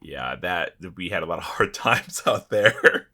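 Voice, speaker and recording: A man's voice: low-pitched, unhurried. A man co-hosting a casual gaming podcast, telling stories in a blunt, profanity-laced way. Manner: profane, blunt, animated storytelling. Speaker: podcast co-host. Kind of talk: casual chat about online gaming.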